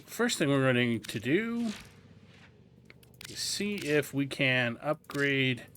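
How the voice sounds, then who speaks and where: A man's voice: mid-range voice, very animated, takes it slowly, a bit bright, a little hiss or hum. A man giving a casual game walkthrough, explaining things as he goes and thinking aloud. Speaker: gameplay guide host.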